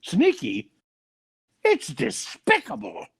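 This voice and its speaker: A man's voice: very high-pitched, huge pitch swings, slow, creaky, fairly bright tone, breathy edge, exaggerated voice. A man acting a nosy, gleeful businessman in an old-time radio comedy. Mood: scheming glee. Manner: prying, exclamatory. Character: nosy, gleeful businessman boss, a gossipy busybody.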